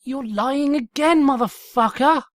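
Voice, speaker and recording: A woman's voice: sits high, unhurried, no room sound, studio quality. A woman voicing a hot-tempered, indignant young female pony in an acted fan fiction reading. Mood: exasperated. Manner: indignant, exasperated, emphatic.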